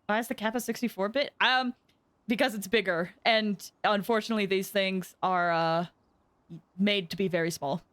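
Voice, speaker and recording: Female game streamer, bright and lively, slightly high, casual and chatty, dry close mic, soft music behind. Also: moderate pace, no echo. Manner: chatty, excitable, swoops into squeals. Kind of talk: casual live stream commentary.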